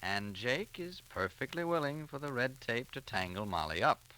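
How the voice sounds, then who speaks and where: A man with an American accent announcing and pitching in 1940s radio style, emphatic and chummy. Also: animated, normal speed, sharp tone, poor audio. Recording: vintage 1940s radio recording.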